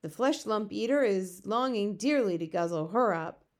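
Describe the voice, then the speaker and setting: Gentle male giant for a children's storybook, performed by a woman: resigned, muddled grammar, invented words. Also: medium pitch, lively intonation, moderate pace, natural voice. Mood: musing. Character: big friendly giant, male, gentle, gloomy, word-mangling. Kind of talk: children's storybook character reading.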